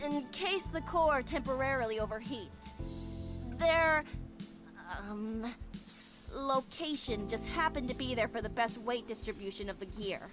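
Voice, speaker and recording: A woman's voice: a little high, a little slow, natural voice. A woman voicing a serious, proud young female pilot in a visual novel game, matter-of-fact and a little defensive. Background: game music.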